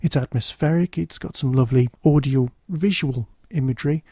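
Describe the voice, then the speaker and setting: Male podcast reviewer, very dark soft tone, dry close recording, wry and conversational. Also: mid-range voice, normal speed, dry recording. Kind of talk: informal spoken review.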